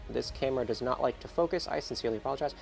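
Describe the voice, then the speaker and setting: A man's voice: medium pitch, very fast, vocal fry, faint music behind. A man with a slightly congested, nasal voice talks through a hands-on build in a casual, upbeat tutorial style. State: nasal from feeling under the weather. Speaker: host of a console-building tutorial channel.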